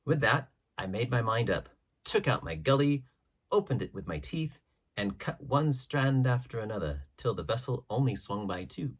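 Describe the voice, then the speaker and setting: A man narrates a literary adventure tale as an audiobook reader. His voice sits at a medium pitch and has a husky, unpolished grain to it. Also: lively intonation, moderate pace.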